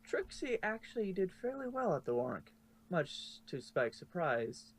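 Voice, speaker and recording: A man's voice: high-pitched, sing-song, measured pace. A man reading scripted story narration aloud as the narrator. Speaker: narrator of a fan fiction reading. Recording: faint background noise.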